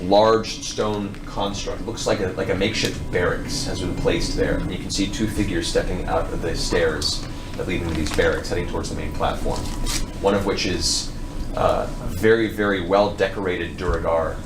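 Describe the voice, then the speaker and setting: A man acting as game master, improvising descriptive narration for a tabletop fantasy game with deliberate phrasing and dramatic pauses. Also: deep voice, fairly even intonation, noisy background. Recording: roomy, distant mics with music underneath. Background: background music.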